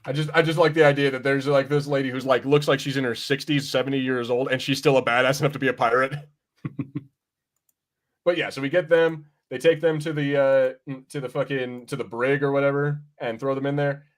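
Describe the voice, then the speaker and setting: Man, podcast host, casual review chat, sweary, rambling, informal, mid-pitched voice. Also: level tone, fairly quick.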